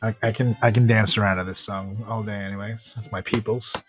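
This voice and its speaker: A man's voice: fairly low pitch, fairly even intonation, a little fast, soft background music. A man hosting a podcast in casual, joking chat, often repeating his own phrases. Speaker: podcast host.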